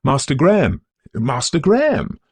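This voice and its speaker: Man acting a breathless, anxious servant, raised pitch. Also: wildly swooping pitch, unhurried, darkish tone, breathy edge, no echo, heavily acted. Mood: breathless, alarmed. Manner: breathless, exclaiming, stammering. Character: breathless male servant, anxious, deferential, astonished.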